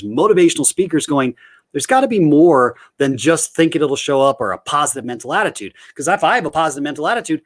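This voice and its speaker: A middle-aged man from the United States giving an energetic motivational talk over a video call, full of rhetorical questions. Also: fairly high pitch. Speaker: guest speaker.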